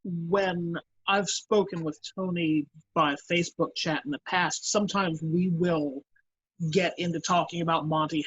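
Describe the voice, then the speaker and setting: A man with a husky voice, a volunteer voice actor telling casual anecdotes on a panel over a dull online stream. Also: fairly high pitch, fairly bright tone, no echo.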